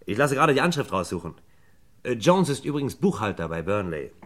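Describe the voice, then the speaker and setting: A male detective in an old radio crime play, speaking in a lowish voice. He is businesslike and alert, probing politely and pausing to think. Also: big pitch swings. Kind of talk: 1950s-70s German radio crime play acting.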